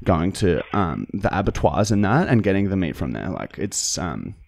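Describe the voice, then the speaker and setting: A man's voice: sits low, big pitch swings, gravelly, a little mellow, full character voice. An Australian man putting on a hesitant, self-righteous vegan customer who rambles and gets sidetracked during a prank phone call. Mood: preachy, earnest. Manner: halting, rambling, peppered with "like". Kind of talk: prank phone call put on for a comedy podcast. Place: indoor podcast studio. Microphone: close studio microphone.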